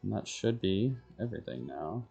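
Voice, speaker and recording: A man's voice: fairly low pitch, big pitch swings, normal speed, creaky, mellow, slightly rough, dull audio, soft background music. A man streaming a video game, thinking aloud in short murmured fragments as casual solo commentary. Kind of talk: casual solo gameplay commentary, muttering to himself.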